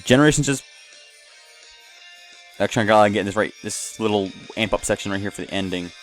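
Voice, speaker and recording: A man's voice: lowish voice, fairly quick. A man giving casual, off-the-cuff commentary on a video game, rambling and jumping between asides as the host. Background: game music.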